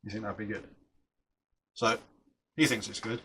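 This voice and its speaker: A middle-aged man talks casually while he works on a model at his bench. His voice is bright and forward. He rambles and restarts his sentences, and there is a faint hiss of noise behind him. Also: on the low side, steady tone, normal speed. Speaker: model aircraft builder and channel host.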